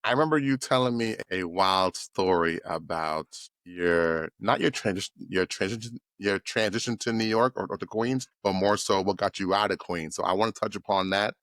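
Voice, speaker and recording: Deep-voiced male podcast host. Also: moderate pace, few pauses, no echo. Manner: expressive, playful asides. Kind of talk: casual interview chat.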